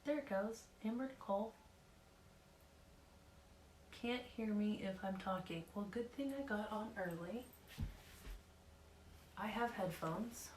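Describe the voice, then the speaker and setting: A woman, slightly hoarse, giving a casual live talk in a nervous, rambling way with lots of fillers. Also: mid-range voice, takes it slowly, long pauses, darkish tone, reverberant, slight background noise, poor audio. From Oklahoma.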